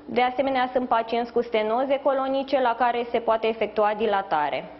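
A woman in her thirties, a doctor giving measured, formal explanations as a guest in a live news interview. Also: fairly high pitch. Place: indoors in a hospital, live video link. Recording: slightly dull sound, faint background noise.

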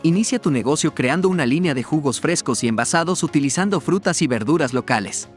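A man narrating a scripted promotional explainer in a measured, formal read-out manner. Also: a little high, lively intonation. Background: background music.

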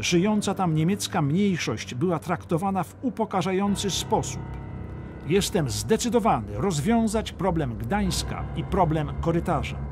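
A man reading a scripted, dramatic history audiobook as narrator. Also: fairly high pitch. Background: music.

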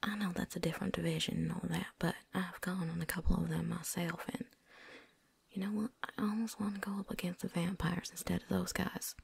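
A woman playing a seasoned, wary but friendly vampire hunter in a hushed, folksy ASMR roleplay whisper. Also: fairly low pitch, little pitch movement, fairly quick, gravelly, husky, heavily acted. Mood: confiding. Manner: hushed, folksy drawl, teasing.

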